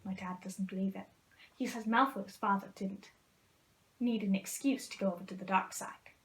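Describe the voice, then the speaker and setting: A woman's voice: mid-range voice, steady tone, moderate pace, natural voice. A woman voicing a blunt, scowling schoolboy in a storybook reading. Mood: grumbling.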